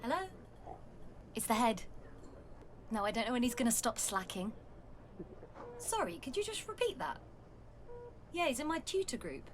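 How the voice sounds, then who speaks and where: An actress playing a blunt, sardonic pregnant schoolteacher with a dramatic way of telling things, in an audio play. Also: female voice, fairly high pitch, sing-song, moderate pace, long pauses, faint music behind. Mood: flustered.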